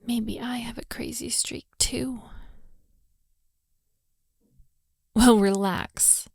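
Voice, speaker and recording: A woman's voice: fairly high pitch, steady tone, slow, darkish tone, no echo, clean studio sound, exaggerated voice. A woman playing a hot-tempered, lovestruck young daughter of a mafia boss in a girlfriend roleplay audio drama, exasperated and scolding. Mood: sarcastic, menacing. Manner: scolding, exasperated, with sighs and breathy asides.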